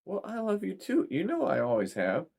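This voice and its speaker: Man putting on a chatty middle aged mom, higher voice, fussy and full of questions. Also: huge pitch swings, moderate pace, creaky, a little mellow, exaggerated voice. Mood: fussing.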